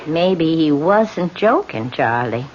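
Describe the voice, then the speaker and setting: A nosy female boarder speaks with lively, swooping intonation on a muffled, faintly hissy radio recording. She is fussy and gossipy, full of pointed hints, in 1970s radio-drama style. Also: low-pitched, takes it slowly, heavily acted. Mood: insinuating.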